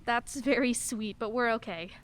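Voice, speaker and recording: A woman's voice: fairly high pitch, moderate pace, a bit bright, lightly acted. An actress playing a weary single mother who talks in a patient, pleading way in a radio play. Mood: polite.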